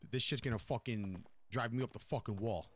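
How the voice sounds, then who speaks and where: A man's voice: mid-range voice, brisk, fairly bright tone, a little hiss or hum, lightly acted. Man acting a domineering, foul-mouthed mob boss. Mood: furious. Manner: browbeating, repetitive, profane. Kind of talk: improvised online crime game roleplay.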